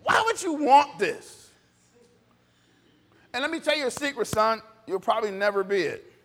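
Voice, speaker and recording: A man's voice: very high voice, expressive, moderate pace, a bit bright. A man preaching an informal, impassioned sermon in a blunt, conversational way, acting out bits of dialogue as he goes.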